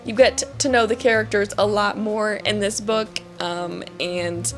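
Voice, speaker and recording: A woman's voice: medium pitch, fairly even intonation, unhurried. A woman talks casually about books over music, in a mid-range voice. Her delivery is emphatic and gushing, with a giggly streak, like a vlogger chatting straight to her viewers. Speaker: book reviewer, vlogger.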